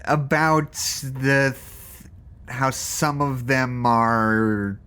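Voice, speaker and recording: A man's voice: medium pitch, fairly even intonation, very slow, bright, forceful tone, smooth. An actor playing a jaded but easygoing male staffer in an audio drama, talking candidly in a hesitant, conversational ramble. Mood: frank, hesitant. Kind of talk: naturalistic audio drama acting.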